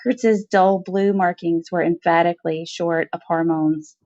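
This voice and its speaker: A woman's voice: lowish voice, steady tone, measured pace, a little mellow. Woman narrating fiction, slightly dull sound. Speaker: story reader. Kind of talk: expressive scripted fiction narration.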